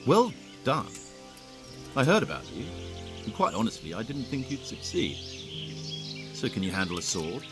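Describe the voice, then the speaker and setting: A man plays a brisk bandit commander in a medieval video game. His delivery is blunt and appraising, mid-pitched with very lively intonation. Music is clearly heard behind. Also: close to an everyday voice. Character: brisk, skeptical male bandit camp commander. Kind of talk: video game cutscene acting.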